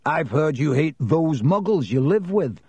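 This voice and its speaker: A man's voice: on the high side, big pitch swings, unhurried, husky, no echo, voice pushed up, full character voice. A man voicing a pompous, fearful, suspicious schoolboy in a storybook, with a stubborn, mysterious manner. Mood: defensive, haughty. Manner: hushed, mysterious to quavering and stubborn.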